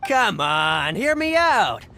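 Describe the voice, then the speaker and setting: An actor voicing a wheedling, excitable, sly fruit seller with pushy sales patter in a video game. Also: male voice, high-pitched, slow pace, a little hiss or hum, full character voice. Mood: boastful, pleading.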